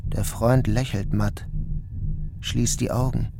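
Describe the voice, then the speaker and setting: A man giving calm, measured first-person narration of a literary story. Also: mid-range voice, creaky. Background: music.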